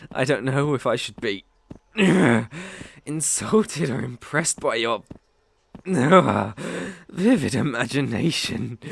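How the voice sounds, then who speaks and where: Male actor as a sadistic werewolf, British accent, very slow drawl, close dry recording, menacing ASMR audio drama. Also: medium pitch, vocal fry, slightly rough, no room sound, heavily acted. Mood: coaxing, amused. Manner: menacing, theatrical, sighing and chuckling, savoring words. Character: sadistic predatory male werewolf luring his prey.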